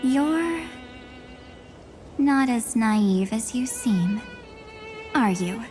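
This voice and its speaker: A woman voicing a cool, world-weary antagonist in a video game, measured, condescending and quietly mocking. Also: on the high side, lively intonation, faint music behind. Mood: wry.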